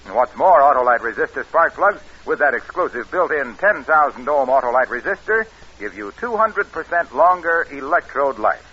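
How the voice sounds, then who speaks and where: खरखरी, तीखी आवाज़ वाला डींगबाज़ किस्सागो. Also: male voice, a little high, animated, moderate pace, pushed and bright, breathy edge, exaggerated voice. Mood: emphatic selling. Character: blustery, boastful male yarn-spinner selling spark plugs. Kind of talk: 1940s radio commercial sketch. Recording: muffled old radio recording.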